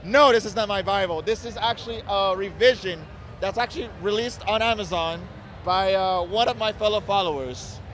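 A man with a fairly high voice delivers a solemn mock sermon in a deadpan tone. His voice is worn and going hoarse. Faint background noise hangs under his words at the crowded expo. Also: moderate pace, low-quality recording. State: losing his voice. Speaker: costumed guest. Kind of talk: mock preacher persona. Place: crowded adult expo hall.

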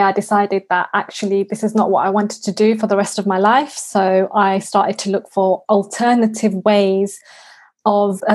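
A young woman in her twenties tells her story in a steady, earnest way. Her pitch sits in a middle range, and she speaks with few fillers, as if she has planned what to say. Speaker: guest, property investor. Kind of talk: prepared personal introduction.

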